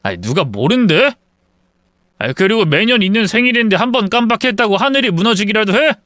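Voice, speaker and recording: A man voicing a married son who answers back defensively and fast. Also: high voice, big pitch swings, a bit bright, dry recording, voice pushed up. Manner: defensive, rapid retorts. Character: defensive married son, quick-tempered younger brother.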